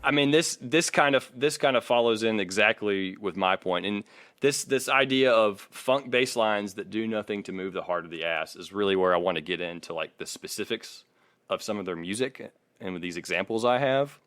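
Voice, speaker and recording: A man with a fairly low voice, recorded close and dry. He talks as a podcast co-host, switching between casual opinion and reading aloud, and argues his points firmly. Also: moderate pace, fairly bright tone, no echo.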